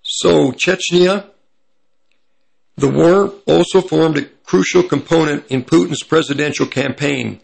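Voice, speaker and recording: Man, hoarse and heavily gravelly, fairly high voice, prophecy commentary, reads and corrects himself. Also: low-quality recording. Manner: pauses to correct himself mid-sentence. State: slightly raspy voice from hay fever. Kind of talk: commentary reading from an article.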